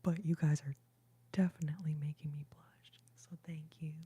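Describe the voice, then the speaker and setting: Softly whispering woman reading her chat. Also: deep voice, fairly even intonation, moderate pace. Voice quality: soft breathy whisper. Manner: gushing, affectionate, calls viewers babes and y'all. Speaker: livestream host reading chat. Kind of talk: whispered ASMR chat with viewers.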